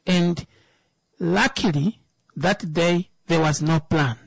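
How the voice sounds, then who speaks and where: A woman's voice: lowish voice, slow, creaky, slightly rough, dry recording. A woman, giving an emphatic opinion talk that turns into a personal story, repeating words for stress.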